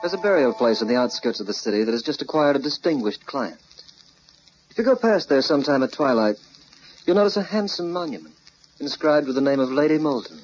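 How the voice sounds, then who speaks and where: An actor in an old radio drama playing a wry, brisk narrator of a crime tale, sardonic and punchy. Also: male voice, mid-range voice, big pitch swings. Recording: noisy, crackling muffled old radio recording.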